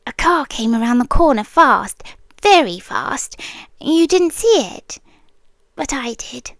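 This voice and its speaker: ASMR roleplay: ancient monster-girl guardian played by a woman, British, high and unhurried, possessive, soothing, whispery. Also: expressive, no room sound, heavily acted. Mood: tender, obsessive. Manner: slow, hushed, intimate, soothing, often dropping to whispers. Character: centuries-old female gargoyle-like guardian monster, possessive, obsessively devoted. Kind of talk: yandere girlfriend ASMR roleplay. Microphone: close microphone, dry.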